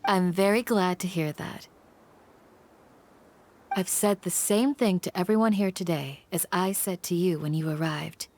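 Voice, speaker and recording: Gentle low-voiced guardian woman. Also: a little hiss or hum. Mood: earnest, caring. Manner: gentle, earnest, measured. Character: calm, caring female village guardian, sincere and protective. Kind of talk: anime-style video game character acting.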